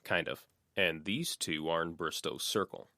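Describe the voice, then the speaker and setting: A man reads as a composed teenage girl in an audiobook. She speaks in a measured, guarded way with quiet confidence, in a dry close sound. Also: sits low, dry recording, exaggerated voice. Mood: cool, firm.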